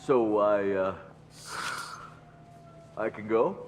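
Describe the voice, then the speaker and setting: A man's voice: fairly low pitch, drawn-out words, noisy background. An actor voicing a timid, evasive alien front man in a video game, nervous and hesitant, stammering and backtracking. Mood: anxious.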